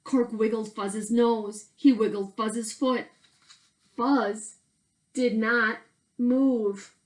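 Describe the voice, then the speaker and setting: A woman reading a children's storybook aloud as a teacher, with deliberate, emphatic phrasing and expressive narration. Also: on the high side.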